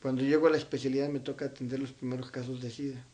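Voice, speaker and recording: A man's voice: medium pitch, flat delivery, racing, dark tone. A middle-aged man with a central-northern Mexican accent, answering as an interview guest in casual conversational recollection. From Zacatecas, Mexico. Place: his own home, indoors.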